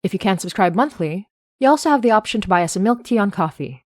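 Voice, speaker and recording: A woman's voice: mid-range voice, animated, fast, dry recording, studio quality. A woman reading scripted podcast credits and announcements as the show's creator.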